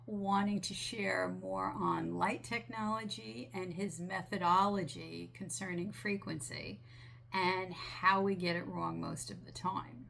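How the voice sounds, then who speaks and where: Woman channeler, raspy from a sinus infection, lowish gravelly voice, unhurried earnest delivery. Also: steady tone. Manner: slow, gentle, earnest with small restarts. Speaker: spiritual channeler. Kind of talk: relaxed monologue relaying a channeled message.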